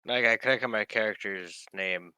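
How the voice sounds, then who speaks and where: A man's voice: lowish voice, moderate pace, sharp tone, clear, resonant tone, no echo. A man chatting casually as a player in an online tabletop game. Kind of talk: casual chat.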